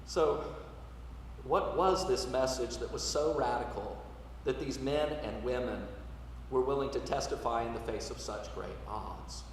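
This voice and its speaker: A man preaching a sermon as a priest, in a measured, rhetorical delivery that swells with emphasis and drops to quiet. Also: medium pitch. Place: large church interior, live service. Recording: echoey church, distant mic, some noise.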